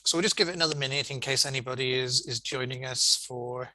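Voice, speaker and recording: A man's voice: medium pitch, fairly even intonation, quick pace, sharp tone, a little hiss or hum. A man hosting an online conference session, giving encouraging, rambling feedback in an informal way. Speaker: conference host and session chair. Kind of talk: informal chairing and feedback over video call. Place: online video call.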